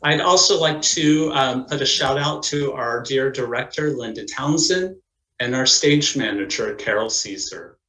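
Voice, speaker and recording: A man presents in a formal, scripted manner. His mid-range voice is measured, like a narrator introducing a community stage production. The sound is noticeably roomy and echoing. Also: medium pitch, sharp tone.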